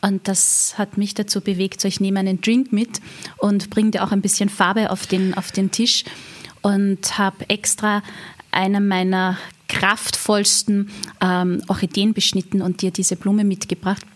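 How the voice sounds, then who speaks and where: Breathy woman, earnest and reflective. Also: mid-range voice, level tone, unhurried. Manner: earnest, reflective, with frequent fillers. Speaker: guest, event agency owner. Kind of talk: interview conversation.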